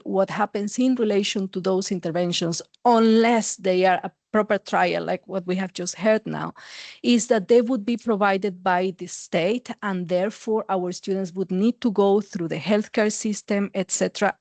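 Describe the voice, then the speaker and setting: A woman's voice: medium pitch, a little mellow, no room sound. Mexican-accented woman giving an academic talk. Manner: measured, with frequent "uh" and "and the" restarts. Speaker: university associate dean and psychologist.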